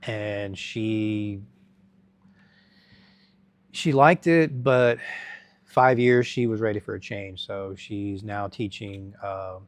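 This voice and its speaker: A middle-aged man telling his life story as an interview guest, halting and rambling with many restarts. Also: lowish voice, dry recording.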